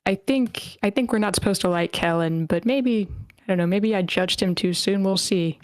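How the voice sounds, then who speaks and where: A woman's voice: medium pitch, normal speed, dry recording, studio quality. A woman casually hosting an online script table read, with a playful manner.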